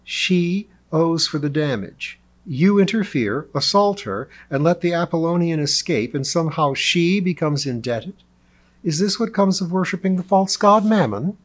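A man's voice: a little high, animated, moderate pace, voice pushed up. Man voicing a bold, scolding young woman. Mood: indignant, scolding. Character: bold, indignant young female challenger.

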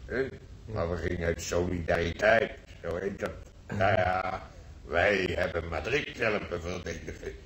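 Deep-voiced gruff old grandfather veteran. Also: fairly bright tone. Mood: gruff reminiscing. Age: older. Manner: halting, repetitive, earthy colloquial telling. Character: old Dutch grandfather, Spanish Civil War veteran, nostalgic, gruff. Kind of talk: radio drama acting, reminiscing monologue. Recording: roomy old radio play, faint noise.

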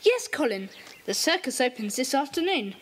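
A woman's voice: sits high, very animated, moderate pace, a bit bright, a little hiss or hum. A woman playing an eager, decisive young boy who leads a group of children in an audio drama.

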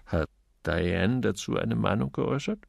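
A man's voice: fairly low pitch, animated, unhurried, gravelly, dry recording, studio quality, full character voice. A man voicing a sober police detective in an audiobook, asking terse, matter-of-fact questions. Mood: probing.

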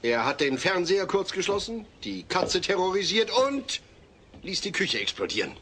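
A man's voice: high voice, big pitch swings, moderate pace, fairly bright tone, a little hiss or hum, exaggerated voice. An actor playing a fussy, exasperated middle-aged suburban father in a German comedy audio drama, stern and precise. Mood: aggrieved, accusing. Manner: stern, long-suffering, precise.

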